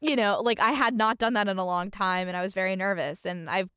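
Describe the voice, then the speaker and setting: A woman with a smooth, clear voice speaks in a very dry recording. She is a podcast guest reflecting casually, expressive but halting, and gently self-deprecating. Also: mid-range voice, dry recording.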